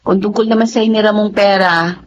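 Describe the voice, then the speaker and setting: A woman's voice: medium pitch, steady tone, brisk, lightly acted. A Filipino actress playing a devoted, generous young girlfriend in a radio drama, earnest and coaxing. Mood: earnest, caring. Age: young adult. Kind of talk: radio drama acting with first-person narration. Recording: dull lo-fi audio, faint noise.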